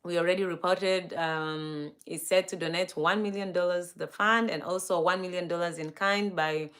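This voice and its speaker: A woman's voice: lowish voice, fairly even intonation, moderate pace, a bit bright. A young woman with a Kenyan accent hosts a casual live stream, greeting viewers by name, laughing often and using lots of fillers.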